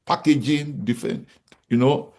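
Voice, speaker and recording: A man's voice: medium pitch, normal speed, a little mellow. Emphatic Nigerian man giving a business talk. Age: 40s. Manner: emphatic, repeats key points, asks the audience rhetorical questions. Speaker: real estate company founder speaking to an audience.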